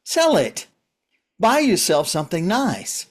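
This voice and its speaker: Male actor as grieving widower, slow pace, animated pitch, earnest, pleading, halting, stage drama. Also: full character voice. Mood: desperate, pleading. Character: grieving, pleading widower, recovering addict on a bridge. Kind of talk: script reading of a stage drama.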